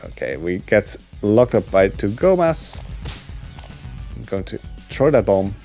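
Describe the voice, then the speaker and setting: Low-pitched male speedrunner, easygoing, filler-heavy live commentary, faint game music behind. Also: a little slow, creaky. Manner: relaxed, rambling, many filler ums and uhs. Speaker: speedrunner commentating his run.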